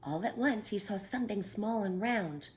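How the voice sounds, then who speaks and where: A woman reading a children's picture book aloud at storytime, animated and pausing to ask her young listeners questions. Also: mid-range voice, slight background noise.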